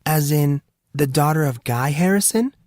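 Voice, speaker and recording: A man's voice: on the high side, moderate pace, darkish tone, no room sound, clean studio sound, pitched above the performer's own voice, close to an everyday voice. A man voicing an effusive, nosy, protective motherly woman in audiobook dialogue, gushing and forthright. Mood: delighted.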